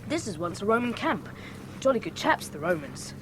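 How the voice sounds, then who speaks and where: A woman playing a bold, earnest schoolboy adventurer in a children's audio drama, brisk and full of old-fashioned slang. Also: medium pitch, big pitch swings, slight background noise, close to an everyday voice. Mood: eager. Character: bold, eager, adventurous schoolboy leading his friend.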